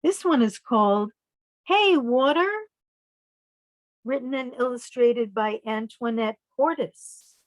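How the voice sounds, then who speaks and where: A woman storyteller reading picture books aloud to young children, pausing to ask them simple questions. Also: a little high, dry recording, low-quality recording.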